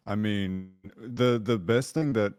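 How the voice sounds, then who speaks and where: A man with a smooth, buttery voice chatting casually as a guest on a podcast about voice acting. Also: fairly low pitch, slow pace, a little mellow, no room sound, clean studio sound.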